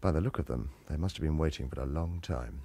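A man's voice: a bass voice, fast, gravelly, warm tone, slightly rough, full character voice. An actor playing a wry, world-weary British retired hitman who also narrates a radio crime drama. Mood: tense, dry. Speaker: lead actor and first-person narrator.

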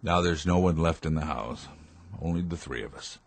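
An actor in a radio drama playing a grave doctor and father with a measured, formal way of speaking. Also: deep voice. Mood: courteous, subdued.

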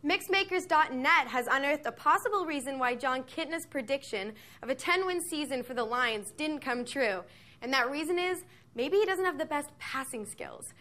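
A woman's voice: sits high, lively intonation, moderate pace, pushed and bright. A young woman in her twenties hosting a sports news show, reading a script with sarcastic, mocking asides from a studio on a lapel mic. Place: television studio set.

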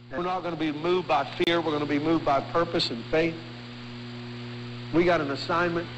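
A man's voice: mid-range voice, noisy background. A man preaching a sermon, rambling and repeating words, fervent in tone. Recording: shortwave radio with music behind. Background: music bed.

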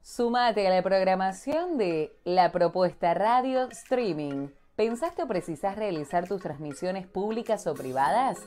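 A woman's voice: mid-range voice, animated, unhurried, hardly any pauses. An Argentine woman announcer reading a scripted radio promo. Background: light music.

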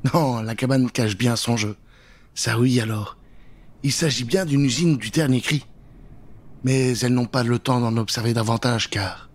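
A man's voice: medium pitch, husky. A middle-aged man telling a scary children's tale in a theatrical, suspenseful way, close on a studio mic. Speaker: storyteller. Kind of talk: dramatic storytelling for children. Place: small indoor recording set. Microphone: studio mic with pop filter, close. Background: music.

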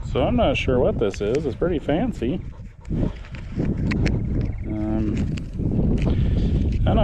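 A man hosting a metal detecting video, chatting casually and getting excited over each find in a grassy field. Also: medium pitch. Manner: upbeat, easily excited, thinking aloud. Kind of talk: casual narration while digging. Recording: outdoor recording with clear background noise.

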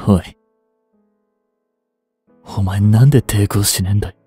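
A man in his twenties playing a remorseful, grieving young hitman in a voice drama, breathy and anguished. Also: fairly low pitch, dark tone, dry recording, faint music behind. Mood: tearful remorse. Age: 20s. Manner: anguished, halting, intimate. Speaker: voice actor. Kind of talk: Japanese voice drama monologue, emotional acting.

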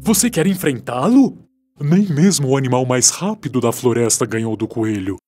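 A man as a mellow, doubting cartoon forest animal. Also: medium pitch, normal speed, husky, soft background music, natural voice. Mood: doubtful, concerned.

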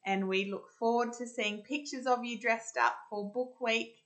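An Australian woman in her thirties reading a picture book aloud to children in an expressive, sing-song way. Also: fairly high pitch. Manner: deliberate, sing-song storytelling delivery. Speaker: primary school teacher reading storytime. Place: home kitchen, indoors.

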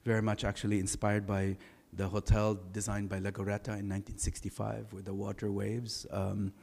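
A middle-aged man with a lowish baritone speaks at a podium microphone, relaxed and anecdotal, often pausing on "uh" and "um". His voice carries a long reverb from a large lecture hall. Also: creaky. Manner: relaxed, anecdotal, many filler sounds. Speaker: architect giving a lecture. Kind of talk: conversational design lecture.

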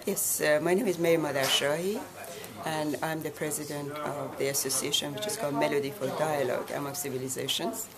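A woman in her sixties, born in Iran, answering interview questions in a measured, deliberate way with frequent pauses. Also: deep voice, steady tone, a loud crowd. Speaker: guest, association president.

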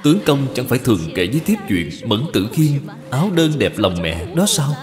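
A man voicing a gentle, dutiful young wife in a cartoon moral tale, speaking formally and persuasively. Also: mid-range voice, sing-song, brisk, lightly acted. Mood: earnest pleading. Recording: long reverb, music behind.

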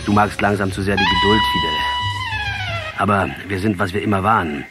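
A man's voice: low-pitched, steady tone, brisk, loud music behind the voice, natural voice. A male actor in a radio drama playing a defiant, sardonic revolutionary leader.

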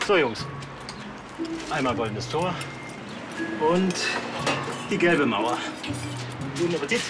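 A proud, polite snack-stall owner from the Rhineland, speaking rather high with lively pitch swings. It is TV comedy dialogue, with loud music and street sound behind. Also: male voice, takes it slowly. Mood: obliging, proud. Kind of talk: naturalistic TV crime comedy dialogue.

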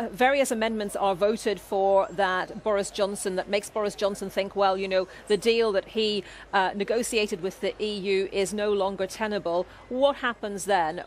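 A woman's voice: mid-range voice, steady tone, moderate pace, slight background noise. A woman in her thirties, a news presenter pressing a guest with persistent questions outdoors. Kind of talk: live news interview. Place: outdoors by a busy street.

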